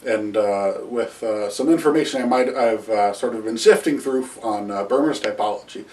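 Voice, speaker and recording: Man lecturing with many filler pauses. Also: lowish voice, a little mellow, far from the mic. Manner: halting, many "uh" fillers and restarts, gestures with both hands. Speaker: history video host. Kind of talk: unscripted lecture to camera. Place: small room at home with wall hangings and shields. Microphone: camera a few feet away.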